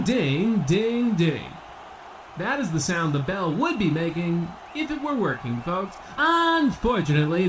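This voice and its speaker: A man's voice: high voice, slight background noise. A man playing a grandiose boxing ring announcer obsessed with ancient Rome, in an old-time radio comedy style. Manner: grandiose, theatrical showman delivery.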